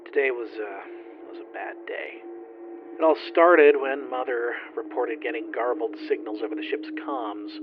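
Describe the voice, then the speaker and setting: A dull, slightly noisy recording carries a man performing a lone spaceship engineer in a horror audio drama. He sounds haunted and bitter, confessing in halting, sardonic, foul-mouthed log entries at a medium male pitch. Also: lightly acted. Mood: shaken, weary. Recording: dull lo-fi, faint noisy radio-like hiss.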